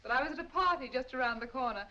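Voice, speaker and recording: Coquettish, coolly insinuating society lady, higher register, polished vintage film delivery, dull soundtrack. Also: lively intonation, rapid-fire, sharp tone. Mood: charming. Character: poised, charming, coolly probing society woman. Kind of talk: 1940s film acting. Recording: muffled old film soundtrack.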